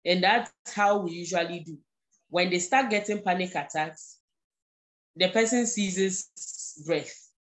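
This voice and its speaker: A woman with a Ghanaian accent, for whom English is a second language, gives a live-streamed explanatory talk. Her voice sits a little low, and she speaks very slowly and deliberately. She repeats her main points and keeps checking in with "okay". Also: low-quality recording. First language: not English. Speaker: guest speaker on mental health.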